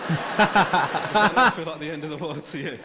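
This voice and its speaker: A man with a British accent doing a stand-up comedy set on a theatre stage, telling stories in a dry, deadpan way. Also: fairly high pitch, unhurried, heavy background noise. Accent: British English. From Sri Lankan heritage. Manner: dry, deadpan storytelling with lively swings. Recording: roomy theatre with loud audience laughter. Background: audience laughing.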